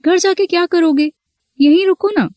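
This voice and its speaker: A woman's voice: very high-pitched, moderate pace, a little mellow, no room sound, studio quality. A woman playing a flirty, possessive, manipulative married college teacher in a scripted story. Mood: teasing, flirty. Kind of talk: scripted Hindi audio story dialogue.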